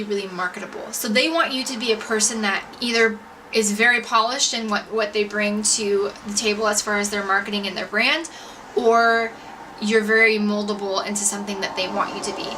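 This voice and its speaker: A woman's voice: on the high side, bright, forceful tone. A woman in her twenties chatting casually as a vlogger, telling a personal story in an informal, self-deprecating, slangy way. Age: 20s. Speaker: YouTube vlogger. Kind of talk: casual storytime chat to camera. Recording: distant mic with faint background noise.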